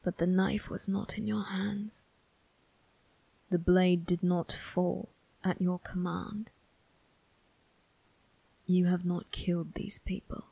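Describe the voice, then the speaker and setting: A woman playing a cold, menacing young male vampire crime boss in an ASMR roleplay, speaking in a breathy, husky half-whisper with a measured, condescending manner. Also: on the low side, warm tone, no room sound, heavily acted, low-quality recording. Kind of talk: ASMR character roleplay addressed to the listener.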